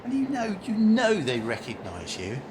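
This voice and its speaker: A man's voice: high-pitched, huge pitch swings, normal speed, noisy background. A man playing a brusque, chatty city banker in an amateur radio play, grumbling and asking blunt questions. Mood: defensive, cynical.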